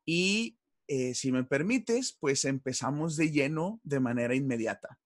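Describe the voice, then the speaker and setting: A man's voice: mid-range voice, lively intonation, measured pace, no room sound, clean studio sound. A man with a Mexican accent hosting a conversational interview, speaking politely and somewhat hesitantly as he sets up his questions. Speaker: interview host.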